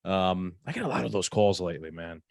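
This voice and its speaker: A man with a low voice co-hosts a podcast and talks fast. He jokes with a straight face and swears casually. The sound is dry and close. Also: gravelly, no echo. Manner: deadpan joker, swears casually. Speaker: podcast co-host.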